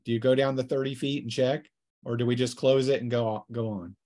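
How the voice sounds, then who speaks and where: A man working as game master, narrating a tabletop role-playing session in a casual, improvised, halting way. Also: mid-range voice, fairly even intonation, warm tone.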